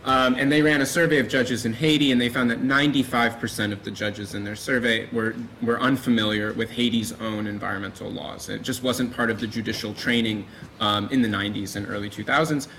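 A man panelist adding a point in a measured way, with frequent fillers. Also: fairly low pitch, fairly even intonation, pushed and bright, a little hiss or hum, rough recording. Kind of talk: panel discussion.

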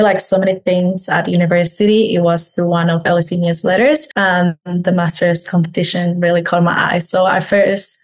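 A young woman from Ecuador, a student guest, answering interview questions in a conversational style. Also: lowish voice.

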